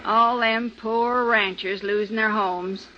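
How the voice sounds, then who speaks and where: A woman's voice: fairly high pitch, steady tone, slow. A woman acting a chatty, nosy older drive-in owner with a folksy American accent in a 1950s radio drama. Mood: gossipy, dramatic. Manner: gossipy, folksy, emphatic. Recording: tinny, faintly noisy old radio recording.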